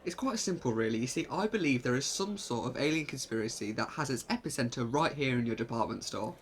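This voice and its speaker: Someone playing an eccentric chirpy time traveller, mid pitched, rambly and a bit hesitant, audio drama. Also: lively intonation, fast talker, slight background noise, lightly acted. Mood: cheerful, sheepish. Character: eccentric, cheerful time traveller posing as job applicant. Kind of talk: fan audio drama acting.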